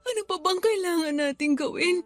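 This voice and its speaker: Music plays behind a very high, tearful female voice. She is a grieving wife in a radio drama, blaming herself and pleading. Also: fairly quick. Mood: pleading grief. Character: Alma, grieving, self-blaming wife who lost her babies.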